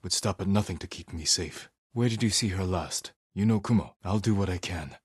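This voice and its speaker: An actor playing a stern, honorable young samurai in a video game, speaking low and husky in a restrained, measured, terse way. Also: male voice, no room sound, studio quality. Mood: serious, concerned. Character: stern, honorable young samurai warrior, weary but resolute. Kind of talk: dramatic video game acting.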